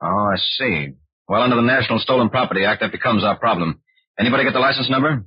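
Casting: a no-nonsense senior G-man in an old radio crime show, brisk questions, dull vintage audio. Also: mid-range voice, breathy edge, dry recording. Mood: businesslike. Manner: terse, official. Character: businesslike male FBI agent in charge. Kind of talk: 1940s radio crime drama acting. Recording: muffled old-time radio recording.

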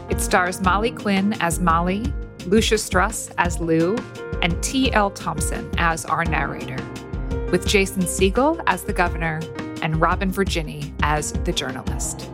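A woman's voice: on the low side, animated, moderate pace, over music. A woman announcer giving a scripted read of podcast credits.

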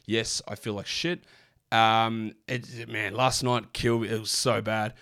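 A man, sick and croaky, hosting a casual basketball podcast with lots of rambling restarts. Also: lowish voice, little pitch movement, unhurried, a bit bright. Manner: rambling, chatty, many fillers and restarts. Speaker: fantasy basketball podcast host. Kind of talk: casual solo podcast talk.

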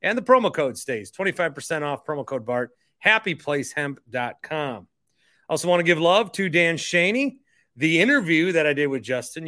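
A man's voice: fairly high pitch, bright, forceful tone, dry recording, studio quality. An American man hosting a podcast, talking in a chatty, emphatic, informal way in a casual solo monologue. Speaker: podcast host and stadium announcer.

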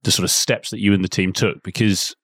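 A man with a fairly low voice fires off long, winding interview questions at a brisk clip, stopping to rephrase himself along the way. The sound is dry and close, with no room echo. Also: little pitch movement, fairly bright tone. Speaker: podcast host, interviewer. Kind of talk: conversational podcast interview.

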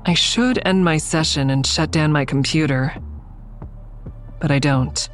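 A woman's voice: sits low, a little slow, faint music behind. A woman playing a wary, dry-witted investigator who narrates her own story in an audio drama, guarded and understated. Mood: uneasy, reflective. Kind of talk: first-person audio drama narration and dialogue.

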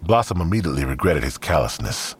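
Male narrator for fiction podcast, exceptionally low pitch, scripted storytelling, slight rumbling noise underneath. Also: sing-song, fast. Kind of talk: scripted audio drama narration.

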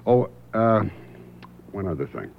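An actor playing a dry, unhurried private detective in a radio drama, questioning people offhandedly and narrating his own case. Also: fairly low pitch, big pitch swings, vocal fry, a little hiss or hum, exaggerated voice. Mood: gentle, probing. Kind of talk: radio drama acting and first-person narration. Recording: dull old radio broadcast, music behind.

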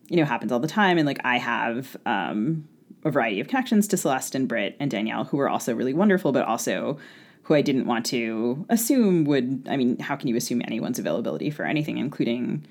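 Woman author chatting on a podcast, kinda hesitant, keeps restarting her sentences as she thinks. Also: very deep voice. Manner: hesitant, self-interrupting, thinking aloud. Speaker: novelist, podcast co-host. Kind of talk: casual interview conversation.

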